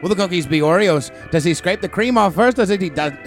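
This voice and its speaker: A melodramatic serial announcer, played by a man, high-pitched and very animated. He narrates mock cliffhangers in a theatrical, digressive way, with music behind him. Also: fast talker. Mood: mock suspense. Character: melodramatic serial announcer with rambling comic questions.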